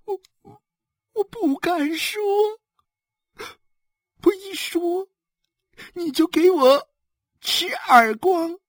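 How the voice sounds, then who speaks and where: High-voiced, wailing, tearful wife. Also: female voice, dry recording, studio quality, heavily acted. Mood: frightened, sobbing. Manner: drawn-out sobbing lament, faltering. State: crying. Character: wailing, wronged, frightened wife and mother.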